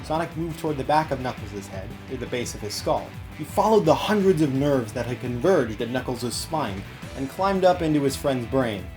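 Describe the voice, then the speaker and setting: A man's voice: mid-range voice, lively intonation, moderate pace, hardly any pauses. Male narrator: earnest dramatic storytelling, mid-range voice, music underneath. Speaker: audiobook narrator.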